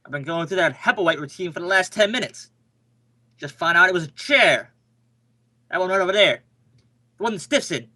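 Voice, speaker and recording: A man's voice: sits high, animated, a little fast, long pauses, bright, forceful tone, full character voice. A man playing an exasperated, grumbling police lieutenant, sarcastic and blustery, in an old-time radio detective drama.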